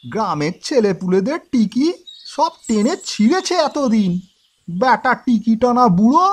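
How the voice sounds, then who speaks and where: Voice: medium pitch, sing-song, measured pace, husky. A performer voicing a spiteful, sneering villager in a cartoon, taunting and mocking. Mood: scornful. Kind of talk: Bengali cartoon acting.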